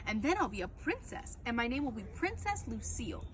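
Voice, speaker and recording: A woman puts on a prim little girl from a storybook who dreams like a princess. She sounds boastful and dainty, with a highish, animated pitch. The recording is clearly noisy. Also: a little fast. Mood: smug. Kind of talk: children's storybook character voice.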